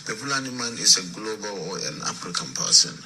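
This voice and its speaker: A man answering questions in a broadcast interview, explaining in a measured way. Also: fairly low pitch, lively intonation. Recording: noisy played-back broadcast clip.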